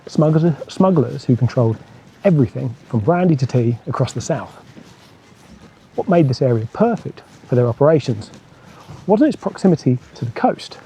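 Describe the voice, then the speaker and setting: A man's voice: medium pitch, warm tone, a little hiss or hum, rough recording. A man hosting a motorcycle travel vlog, narrating local history and legends in a storytelling style.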